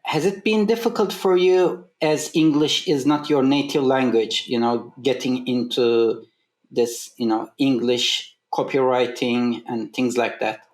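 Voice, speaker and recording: Brief: male podcast host, mid-pitched, measured questions, roomy sound. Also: fairly even intonation. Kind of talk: hosting an interview. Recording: echoey room.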